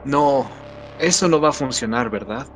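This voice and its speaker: A man's voice: medium pitch, expressive, moderate pace, fairly bright tone, close to an everyday voice, low-quality recording. Tormented soldier played by a man, over music. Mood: brooding, troubled. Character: tormented, battle-worn male soldier in a war story. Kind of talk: audio drama acting.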